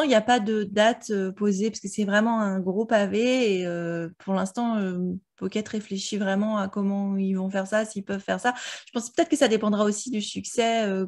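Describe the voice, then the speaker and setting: A woman's voice: medium pitch, a little mellow. A woman in casual, explanatory conversation, talking as a translator about her work.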